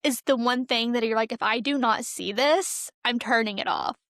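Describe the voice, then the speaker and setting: A woman's voice: a little high, moderate pace, fairly bright tone, no room sound, studio quality. A young woman co-hosting a casual pop-culture podcast in a chatty, giggly manner. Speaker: podcast co-host.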